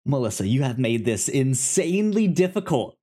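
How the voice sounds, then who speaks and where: A man's voice: medium pitch, sing-song, normal speed, warm tone. A man co-hosting a podcast in casual chat about films, phrasing his thoughts a little hesitantly. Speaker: podcast co-host.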